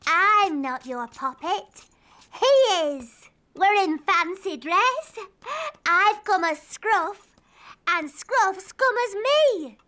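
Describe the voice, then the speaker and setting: A woman playing a bossy, excitable little girl princess in a cartoon, with a childlike voice and dramatic, emphatic delivery. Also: very high voice, extremely slow, exaggerated voice. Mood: earnest.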